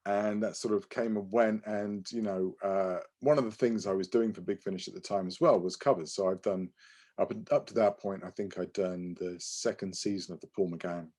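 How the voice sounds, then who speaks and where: A man's voice: deep voice, steady tone, moderate pace, darkish tone. A man co-hosting a podcast, telling anecdotes casually and often correcting himself in a hesitant, apologetic way. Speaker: podcast co-host.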